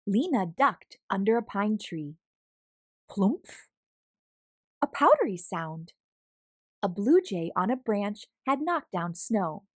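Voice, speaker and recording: A woman narrating a children's picture book in an expressive, animated, deliberate storytelling manner. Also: mid-range voice, no echo, clean studio sound. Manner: animated, deliberate storytelling with acted sound words. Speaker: storybook read-aloud host.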